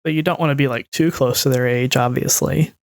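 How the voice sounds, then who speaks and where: A woman's voice: rumbling low, fairly even intonation, fairly quick, dry recording, clean studio sound. A woman in her twenties in casual discussion as a podcast co-host. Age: 20s.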